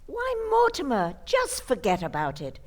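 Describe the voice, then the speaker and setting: Actress as sweet dotty elderly aunt, high-ish lilting voice, unflappable, radio play. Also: female voice, wildly swooping pitch, unhurried, full character voice. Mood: calmly unbothered. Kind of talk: old time radio acting.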